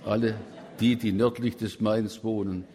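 A man's voice: lowish voice, little pitch movement, unhurried, dark tone. A man with a Swabian accent preaching a sermon, emphatic and at times rising to fervent proclamation. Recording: long reverb of a church.

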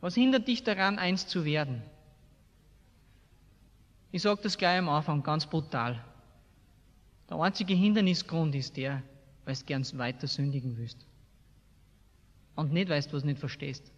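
An Austrian-accented man preaches in a high voice that rings through a reverberant hall. His delivery is blunt and emphatic, in everyday colloquial speech. He often puts rhetorical questions to his listeners. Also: unhurried, long pauses, muffled recording. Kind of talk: colloquial evangelical sermon. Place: large hall, preaching to a seated congregation. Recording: echoey hall with long reverb.

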